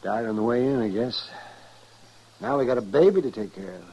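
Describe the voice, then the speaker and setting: An actor playing a concerned American town doctor of the frontier, muttering and expressive, in an old-time radio western. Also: male voice, medium pitch, fairly quick, husky, dull audio, lightly acted. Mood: grave. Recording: noisy vintage radio recording.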